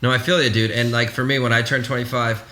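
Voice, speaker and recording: A man's voice: medium pitch, flat delivery, fast talker, pushed and bright, slight background noise. A man in his late twenties co-hosting a podcast, blunt and joking, swearing freely in casual chat. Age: 20s. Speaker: podcast co-host.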